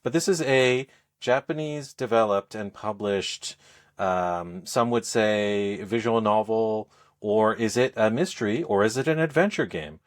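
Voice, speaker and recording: Low-voiced man chatting about his hobby. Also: a little slow. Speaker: podcast co-host. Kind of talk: casual game review chat.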